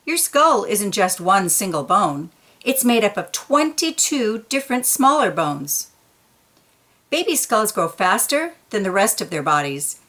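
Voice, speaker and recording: A woman reads aloud as if from a picture book for young children. Her pace is slow and deliberate, and her medium-pitched voice rises and falls in a lively way. She leans on key words with playful emphasis.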